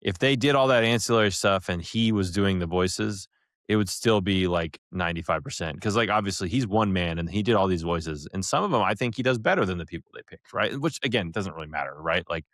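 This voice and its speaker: A man co-hosting a podcast in casual review discussion, talking in halting bursts with many asides. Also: deep voice, a bit bright, no echo, clean studio sound. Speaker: podcast co-host.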